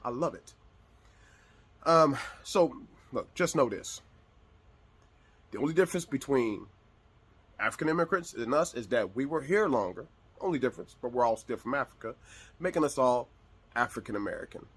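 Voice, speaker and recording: Guy w a husky, slightly rough voice just chatting to his followers. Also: mid-range voice, animated, normal speed, long pauses. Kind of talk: casual direct address to online viewers.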